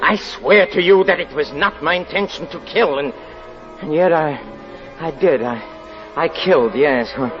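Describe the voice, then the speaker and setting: An actor playing an escaped convict posing as a minister, sly and unhinged, with halting, nervy speech in 1940s radio thriller style. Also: male voice, a little high, close to an everyday voice. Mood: feverish confession. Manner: halting, nervy, with repeated words. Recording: muffled old radio recording with music.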